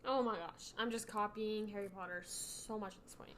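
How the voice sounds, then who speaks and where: A woman's voice: mid-range voice, fairly even intonation, moderate pace. A woman co-hosting a podcast, chatting and reacting casually in a playful, self-deprecating way. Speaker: podcast co-host. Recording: faint background noise.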